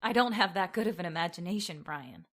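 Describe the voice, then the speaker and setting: A woman's voice: lowish voice, expressive, fairly quick, a bit bright. A woman playing an anxious, frightened young woman in old-time radio horror, hesitant and dramatic. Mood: troubled.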